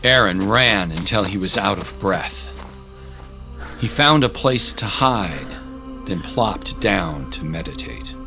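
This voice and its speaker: Animated male storyteller over music. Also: sits low, slow pace, vocal fry. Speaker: narrator. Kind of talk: dramatic scripted story narration.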